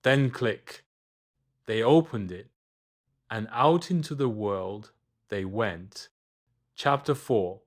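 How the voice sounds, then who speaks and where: A man narrating a scripted children's bedtime story, expressive and deliberate, with dramatic pauses. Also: lowish voice.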